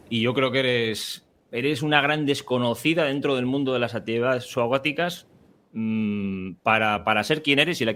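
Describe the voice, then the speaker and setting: A man's voice: medium pitch, a bit bright, a little hiss or hum. A male podcast host chats in a loose, rambling way. He restarts his sentences often, fills gaps with "eh" and breaks into laughter here and there. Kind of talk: casual conversational interview.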